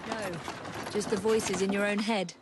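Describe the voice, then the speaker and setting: A woman's voice: mid-range voice, flat delivery, moderate pace, noisy background, lightly acted. An actress playing a composed, dry-witted young Victorian woman spy with an English accent in a video game. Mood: dry, teasing. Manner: dry, poised, formal. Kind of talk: video game cutscene acting.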